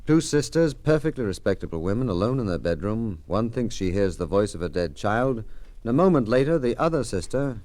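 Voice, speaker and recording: An actor playing a shrewd police detective in old radio mystery style, measured and authoritative. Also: male voice, on the low side, big pitch swings, a little mellow, no room sound. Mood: grave, instructing. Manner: measured, deliberate, authoritative questioning. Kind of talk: 1940s radio mystery acting.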